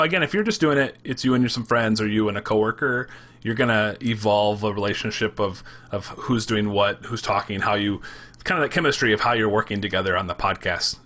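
A man with a Michigan accent who talks fast and runs his words together, chatting about making podcasts. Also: fairly low pitch, bright, forceful tone. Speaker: podcast creator. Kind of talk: casual panel chat.

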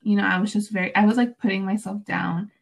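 A woman's voice: medium pitch, fairly even intonation, quick pace, gravelly. A young woman, a student whose first language is Spanish, talking reflectively on a panel with many fillers and restarts. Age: young adult. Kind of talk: casual panel discussion.

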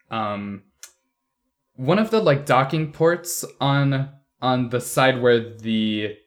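A man chatting informally and bantering with friends as a podcast host, in a casual review discussion. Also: mid-range voice. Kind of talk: casual group review chat.

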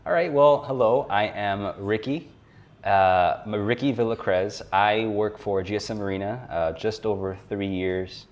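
A young man from South Florida, a tech journalist guest on a podcast, talking in a relaxed, rambling way and laughing often. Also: lowish voice, measured pace. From South Florida, USA. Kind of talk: casual conversation.

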